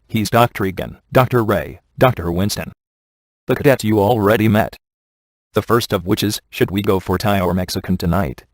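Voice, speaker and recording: A man playing a wisecracking, sardonic ghost hunter in a video game, with a deadpan, offhand delivery. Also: lowish voice, dry recording. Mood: smug. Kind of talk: video game voice acting, comic one-liners.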